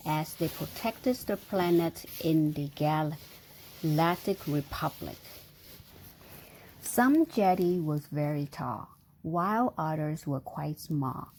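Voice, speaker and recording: A woman's voice: low-pitched, darkish tone, a little hiss or hum, low-quality recording. A woman reading a storybook aloud to a young child, breaking off for chatty, engaging asides and questions. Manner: engaging, prompting with questions and sound effects.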